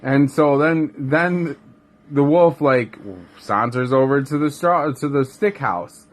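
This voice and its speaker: A man's voice: on the high side, slow pace, slight background noise. A man improvising a bedtime story as a father, casual and rambling, with jokey asides.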